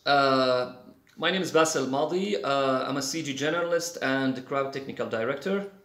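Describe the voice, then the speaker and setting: A man, a guest in a casual interview, talking haltingly with many fillers and restarts. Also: medium pitch, fairly bright tone, reverberant. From Syria. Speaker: guest, CG artist.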